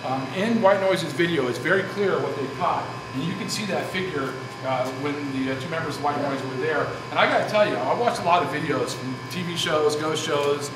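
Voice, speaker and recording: A man's voice: a little high, brisk, few pauses. A man hosting a casual on-location interview in a bantering, joking way. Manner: jokey, bantering. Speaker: host of a paranormal investigation show. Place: inside an old saloon. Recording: echoey room with background noise.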